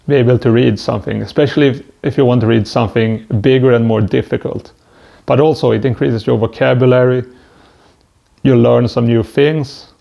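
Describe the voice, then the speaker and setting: Swedish-accented man, gravelly and mellow. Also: medium pitch, lo-fi sound. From Sweden. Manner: hesitant, stumbling with frequent "uh" fillers. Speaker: vlogger. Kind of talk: self-help advice monologue.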